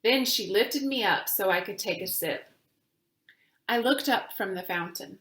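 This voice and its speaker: A woman reading a children's book aloud in a deliberate, teacherly way, pausing to speak to young listeners. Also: medium pitch, expressive, fairly bright tone, echoey room. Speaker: reader of children's books for a virtual summer camp. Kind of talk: read-aloud storytelling with asides to children.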